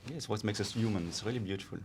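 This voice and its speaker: A man with a low voice who speaks in a calm, soothing, measured way, the kind of voice that guides a meditation. He co-hosts a podcast and slips easily into relaxed chat. A faint background hum sits under his voice. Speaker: podcast co-host. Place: Barcelona.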